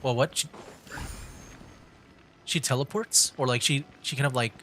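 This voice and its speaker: A man's voice: mid-range voice, a little slow, bright, forceful tone, slight background noise. A man giving casual livestream gameplay commentary, thinking aloud in an easygoing way as game music plays behind him. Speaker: game streamer.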